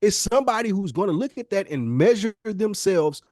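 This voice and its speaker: Insistent man with a slightly high voice. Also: animated, brisk, a little mellow, dry recording, studio quality. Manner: insistent, explanatory. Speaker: podcast co-host. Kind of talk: casual debate.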